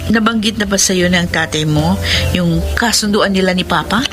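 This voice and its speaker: An actress playing a dutiful, composed young Filipina daughter in a radio drama. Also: female voice, on the low side, moderate pace, music in the background, close to an everyday voice. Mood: polite, probing.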